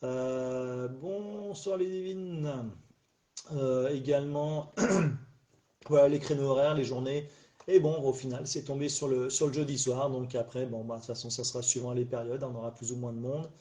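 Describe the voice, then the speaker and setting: Middle-aged man, live-stream host, stuffy from a cold, warm, relaxed tone, halting delivery full of fillers. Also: medium pitch. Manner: rambling, hesitant, many fillers and restarts. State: slightly stuffy after a cold. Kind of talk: casual solo live chat. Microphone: phone or webcam on a live stream.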